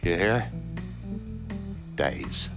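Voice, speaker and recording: An actor playing a bitter, foul-mouthed Irish mobster in a video game cutscene, sneering and profane, with an Irish accent. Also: male voice, very slow. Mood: bitter contempt. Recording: music behind the voice. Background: music.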